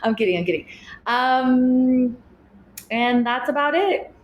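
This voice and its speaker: A woman's voice: high voice, lively intonation, takes it slowly, echoey room, muffled recording. A woman in her thirties from Mexico, Spanish her first language, co-hosting a podcast with blunt, dry jokes. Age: 30s. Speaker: podcast co-host. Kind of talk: casual chat.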